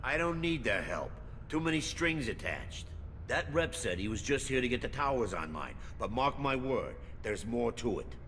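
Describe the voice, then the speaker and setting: An actor playing a rattled, resentful colony mechanic in a video game, suspicious and grumbling. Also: male voice, medium pitch, sing-song, normal speed, a bit bright, big-room sound, a little hiss or hum. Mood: bitter, suspicious. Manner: grumbling, agitated.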